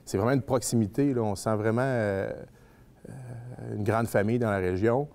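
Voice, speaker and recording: A man's voice: on the low side, moderate pace, gravelly, darkish tone. A man answering interview questions, hesitant, often repeating words as he searches for them.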